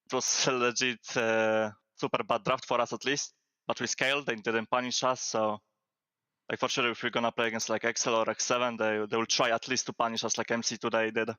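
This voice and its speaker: Crisp, bright-voiced male esports player. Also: medium pitch, flat delivery, brisk, no echo, lo-fi sound. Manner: casual, uses many fillers. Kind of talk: post-match interview.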